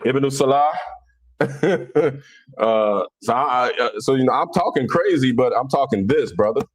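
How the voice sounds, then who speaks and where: A man's voice: medium pitch, a little slow, dry recording. A man with a Philadelphia accent hosting a casual live religious debate, answering viewers in a blunt, colloquial, mocking way. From Philadelphia, United States. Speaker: livestream host.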